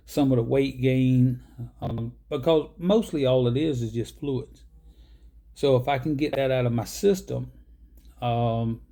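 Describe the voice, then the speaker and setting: Slow, rambling man in his fifties. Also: mid-range voice, vocal fry, dark tone. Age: 50s. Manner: unhurried, many ums and uhs, rambling. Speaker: pipe-smoking vlogger. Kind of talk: casual rambling show-and-tell.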